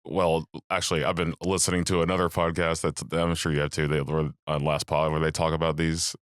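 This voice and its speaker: A man's voice: rumbling low, level tone, fairly quick, clean tone, dry recording, clean studio sound. A young man co-hosting a movie podcast, laid-back and joking, often trailing off mid-sentence. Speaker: podcast co-host. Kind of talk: casual movie podcast chat.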